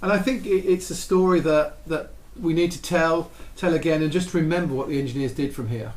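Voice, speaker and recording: A man's voice: on the high side, fairly quick. A man telling a history story with enthusiasm, tired and losing his voice. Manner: warm, enthusiastic, with many restarts. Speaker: radio history presenter. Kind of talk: storytelling talk. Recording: echoey room with faint ambient noise.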